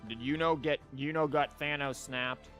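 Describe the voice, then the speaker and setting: A man's voice: fairly high pitch, steady tone, normal speed, a bit bright. Wry man rambling on a livestream. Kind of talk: casual chat and commentary while streaming a game. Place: live stream from an indoor setup. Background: game music.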